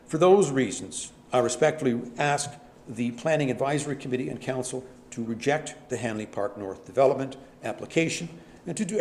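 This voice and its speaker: A man giving a formal, measured presentation to a council committee from a podium microphone. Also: mid-range voice, a little mellow, a little hiss or hum. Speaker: public delegate presenting. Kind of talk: formal prepared submission. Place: council chamber.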